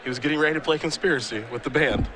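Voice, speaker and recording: A man calling live game play-by-play, steady when describing plays and easygoing in banter with his co-host. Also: on the high side, rapid-fire, a crowd in the background. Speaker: play-by-play commentator. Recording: noisy live event audio.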